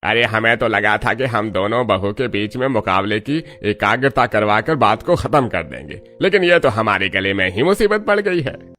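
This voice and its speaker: A man voicing an exasperated male elder of a household in a family story cartoon, animated in delivery. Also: fairly low pitch, normal speed.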